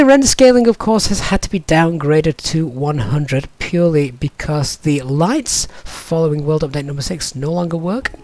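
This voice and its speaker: A middle-aged man with a slightly croaky, cold-affected voice, hosting a livestream in a chatty, hesitant, casual way. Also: a little high, darkish tone, no room sound. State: has a cold. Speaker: flight simulator streamer and host.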